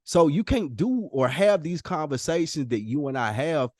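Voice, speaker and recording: A man's voice: on the high side, animated, moderate pace, darkish tone, dry recording, studio quality. An American man co-hosting a podcast, talking casually in an earnest, emphatic, slangy way and often repeating himself for emphasis. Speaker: podcast co-host. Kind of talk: casual conversational podcast talk.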